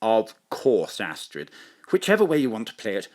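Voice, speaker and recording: A man's voice: lowish voice, wildly swooping pitch, normal speed, a little mellow. A man playing a genial, suave intelligence chief in an audio drama, jovial and politely old-fashioned. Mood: fond, courting.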